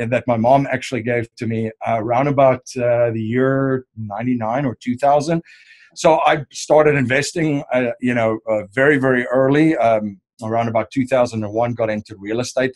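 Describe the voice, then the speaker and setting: South African man, 30s, relaxed interview guest, meandering answers full of fillers. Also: mid-range voice. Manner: rambling, many fillers and restarts. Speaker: podcast host and wealth strategist guest.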